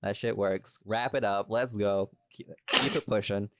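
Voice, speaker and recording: A man's voice: lowish voice, steady tone, measured pace, heavy background noise. A man chatting casually and expressively about his own audio work on a behind-the-scenes podcast. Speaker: audio drama creator, podcast host.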